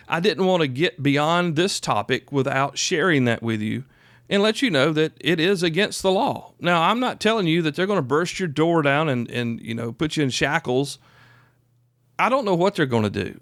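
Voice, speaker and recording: A man in his fifties speaks in a dry recording with a Southern US accent. His delivery is that of an informal podcast host, plainspoken and folksy, leaning firmly on his points. His pitch sits around the middle for a man. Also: dry recording. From South Carolina, United States. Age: 50s. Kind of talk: informal solo podcast talk.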